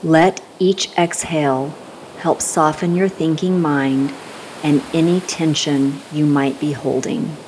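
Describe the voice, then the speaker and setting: A woman guiding a scripted meditation in a soothing, unhurried manner, giving gentle instructions with long pauses. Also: low voice, fairly even intonation, slight background noise, low-quality recording. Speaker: meditation guide.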